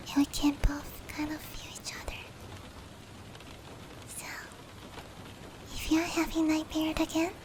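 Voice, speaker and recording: A woman voicing a gentle, protective female demon in a soothing, breathy whispered ASMR roleplay, slow and comforting. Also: high voice. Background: rain.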